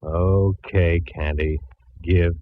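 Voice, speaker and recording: An actor playing a sardonic, laconic police detective in a 1940s radio drama, dry and terse. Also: male voice, sits low, fairly even intonation, drawn-out words. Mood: insistent. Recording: thin, phone-like vintage radio recording.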